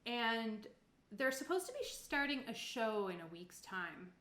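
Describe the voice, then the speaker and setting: A woman's voice: fairly high pitch, expressive, a little slow, a bit bright. A woman from Cape Breton Island in Canada, narrating a tabletop game in an informal, playful, conversational way. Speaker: game master of a tabletop roleplaying stream. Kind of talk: casual game narration and chat. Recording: echoey room.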